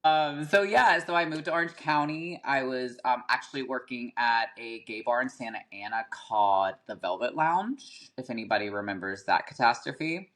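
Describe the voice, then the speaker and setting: A man's voice: mid-range voice, normal speed, few pauses, a bit bright. A man, a guest telling his story casually, full of "like" and "um". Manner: chatty, filler-heavy, frequent "like" and "um". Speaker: podcast guest, rapper.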